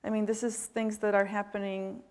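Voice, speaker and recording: A woman in her forties speaks with a Bosnian accent, heartfelt and halting, often stopping to restart her sentences. Her pitch stays level and even throughout. The sound carries a long reverb, as from a large event hall. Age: 40s. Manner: heartfelt, hesitant, many fillers and restarts. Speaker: panelist, nonprofit director. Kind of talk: earnest public remarks.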